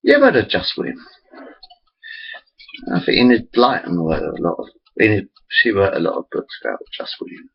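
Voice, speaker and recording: A man's voice: deep voice, big pitch swings, fairly quick, vocal fry, long pauses, breathy edge, highs cut off. A man in his forties rambling chattily to his webcam, interrupting himself often. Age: 40s. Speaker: vlogger. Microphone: built-in computer camera mic.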